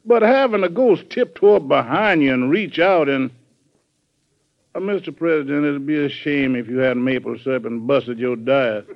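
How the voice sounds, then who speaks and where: An actor playing a folksy, deferential and superstitious manservant in an old-time radio drama, hesitant and solicitous. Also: male voice, medium pitch, moderate pace, gravelly, natural voice. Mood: nervous, deferential. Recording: dull vintage radio recording.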